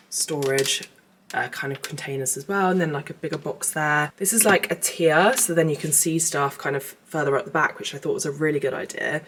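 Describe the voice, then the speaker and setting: A woman's voice: deep voice, a bit bright, slight background noise. A woman, chatting casually in a home vlog with a stuffy nose from a cold. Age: late 20s. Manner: chatty and upbeat. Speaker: lifestyle vlogger. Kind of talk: casual vlog chat to camera. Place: home kitchen.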